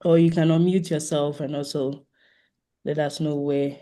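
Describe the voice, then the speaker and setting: Mellow-voiced host of an online meeting. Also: medium pitch, level tone, normal speed. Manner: polite, inviting participants to introduce themselves. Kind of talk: informal moderating of a video call meeting. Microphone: video call microphone.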